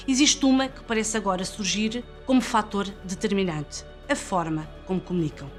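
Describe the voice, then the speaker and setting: A woman narrator with a European Portuguese accent delivers a scripted business report. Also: medium pitch. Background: music.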